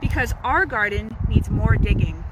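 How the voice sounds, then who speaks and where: A woman's voice: mid-range voice, expressive, moderate pace, close to an everyday voice. Storybook mother, played by a woman: patient, assured, explanatory, on a very noisy recording. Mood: explaining. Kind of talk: children's picture-book read-aloud. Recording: very noisy outdoor recording with clicks.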